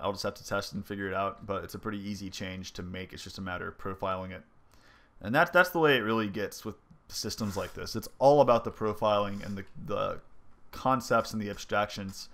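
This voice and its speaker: A young man with a somewhat low voice, stuffy and congested as if from a cold. He talks casually and loosely, thinking aloud with frequent ums and likes as he narrates his own gameplay footage. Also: a bit bright. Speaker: indie game developer. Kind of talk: casual devlog commentary over gameplay.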